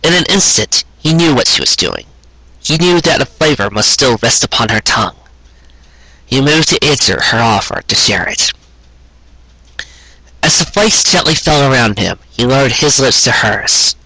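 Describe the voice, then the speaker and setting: A man narrates a romantic tale with earnest drama. His voice is bright and forward with a husky grain, recorded dry and close. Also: mid-range voice, lively intonation, normal speed, no echo, rough recording. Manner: earnest, dramatic storytelling delivery. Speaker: storyteller reading fan fiction aloud. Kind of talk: scripted narration of a sentimental romance story.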